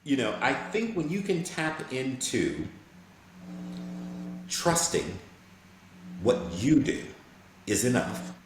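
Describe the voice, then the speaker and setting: A man's voice: medium pitch, wildly swooping pitch, slow, pauses often. A man who is a voice actor, speaking earnestly and reflectively as a guest in a casual interview, often checking that he is understood. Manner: reflective, earnest, often asks "you know what I'm saying". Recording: very echoey room with faint background noise.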